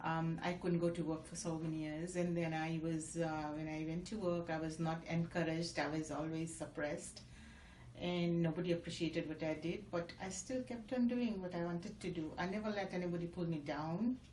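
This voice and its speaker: A woman's voice: lowish voice, fairly even intonation, normal speed, a little mellow. A middle-aged woman from Fiji, a guest in a casual interview, talking earnestly with many ums and uhs. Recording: roomy echo, faint noise.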